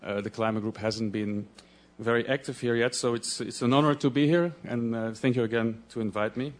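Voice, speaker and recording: A man from Belgium, a Dutch speaker, giving a conference presentation in a halting way, often restarting as he searches for words. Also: medium pitch, steady tone.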